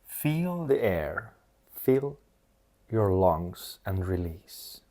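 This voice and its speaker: A man leading a scripted guided meditation in a soothing, measured manner, speaking in short phrases with long pauses. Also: low voice, very animated, warm tone.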